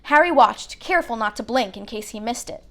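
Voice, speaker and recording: High-voiced woman reading a story aloud. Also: lively intonation, a little fast, bright, forceful tone. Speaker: read-aloud narrator. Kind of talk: expressive storybook narration.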